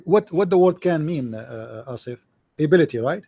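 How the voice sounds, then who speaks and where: A man's voice: on the high side, animated, moderate pace, dark tone. A man with an Arabic accent, a native Arabic speaker, arguing emphatically as host of a call-in religious debate in repetitive, non-standard English.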